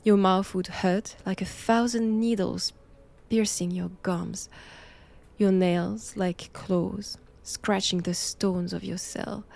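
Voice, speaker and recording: A woman playing a solemn, assured Vatican nun who hunts knowledge of vampires, with a French accent and a hushed, deliberate delivery in an intimate audio roleplay. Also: medium pitch, creaky. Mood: quietly menacing.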